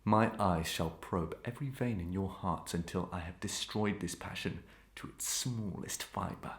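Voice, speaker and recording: Actor as tormented melodrama murderer-husband. Low male voice, imperious archaic declamation, strongly roomy recording. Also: measured pace. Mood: commanding, bitter. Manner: commanding, grandiose archaic declamation. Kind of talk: old-fashioned melodramatic stage acting.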